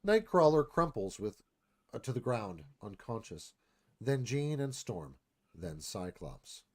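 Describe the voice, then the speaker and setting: A man's voice: medium pitch, sing-song, takes it slowly, darkish tone. A man narrating stage directions in a live dramatic screenplay read.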